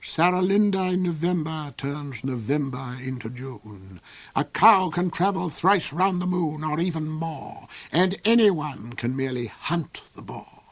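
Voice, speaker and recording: A cold, sneering old duke, played by a male reader, who drawls every cruel word slowly. He savours his threats and lets them fall into silky, hissing whispers. The voice sits close and dry, with no room around it. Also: fairly high pitch, animated, no room sound, pitched above the performer's own voice. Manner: slow, silky, mocking, drops into hissing whispers. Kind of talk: audiobook character voice.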